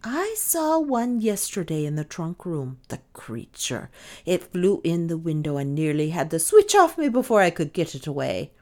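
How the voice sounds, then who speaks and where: A woman's voice: lowish voice, big pitch swings, moderate pace, a little mellow, pitched above the performer's own voice. A woman voicing a superstitious, gloomy, stubborn old Irish maidservant with a dramatic, insistent delivery in an audiobook. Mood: fearful, gloomy. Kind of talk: audiobook character dialogue.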